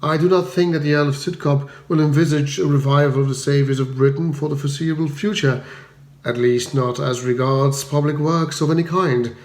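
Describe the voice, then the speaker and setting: A man's voice: on the high side, steady tone, fairly quick, a little mellow, close to an everyday voice. Storybook manservant male reader acting: composed, formal, deferential, with a roomy echo. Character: unflappable, deferential English valet, discreetly satisfied.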